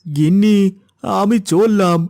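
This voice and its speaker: A man's voice: high voice, takes it slowly, darkish tone. A man voicing a poor, plaintive village sweet-seller and husband in a moral-story cartoon. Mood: weary, resigned. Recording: music behind the voice.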